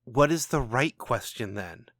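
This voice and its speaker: A man's voice: mid-range voice, animated, a little slow, fairly bright tone, no echo, clean studio sound. A man voicing a troubled ordinary man down on his luck in a storybook reading, questioning and defensive. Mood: wary. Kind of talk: storybook narration dialogue.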